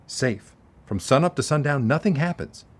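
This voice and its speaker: An actor playing a worried investigator who uses a wheelchair and pleads with his sister in an audio drama, with a rough voice. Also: mid-range voice, lively intonation, moderate pace, close to an everyday voice. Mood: insistent.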